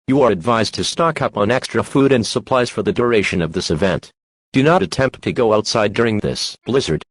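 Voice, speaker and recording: A man's voice: on the low side, slightly rough, dry recording. A man announcing a scripted emergency broadcast in a formal, synthetic-sounding delivery.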